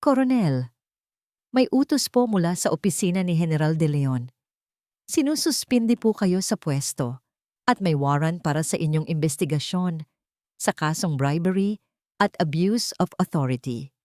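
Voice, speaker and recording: A woman's voice: lowish voice, expressive, normal speed, a little mellow, no room sound, studio quality, natural voice. A woman voicing a respectful, formal military aide reporting to a superior in a story. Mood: urgent. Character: deferential military aide bringing urgent news.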